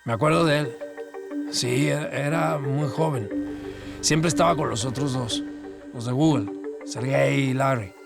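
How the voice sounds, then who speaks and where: A man playing a wistful witness who recalls a vanished acquaintance, halting, as in a documentary-style audio drama. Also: mid-range voice, gravelly, over loud music.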